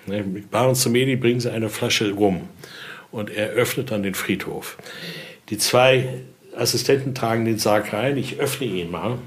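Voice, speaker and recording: A man's voice: fairly low pitch, normal speed. A man giving an informal spoken explanation as an expert guide, often adding short tag questions to check agreement. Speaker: expert guide showing a collection.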